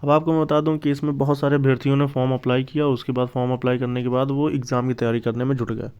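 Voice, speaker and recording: Clear-voiced man explaining, slightly dull recording. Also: mid-range voice, little pitch movement, fast, darkish tone. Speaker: exam-news YouTube host. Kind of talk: informal explainer addressed to exam aspirants.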